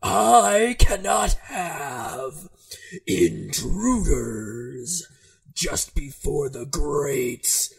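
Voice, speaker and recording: A man voicing a menacing demon lord of darkness in a comic story read-aloud, bellowing pompous proclamations in a strained, raspy voice. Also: on the high side, animated, very slow, heavily acted. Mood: furious.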